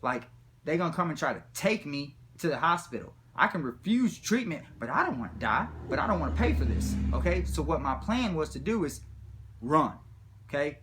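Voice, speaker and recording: A man telling a comic personal story in a rambling, slangy, profane way with animated retelling. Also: fairly high pitch, fairly quick, music in the background. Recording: background noise, room ambience.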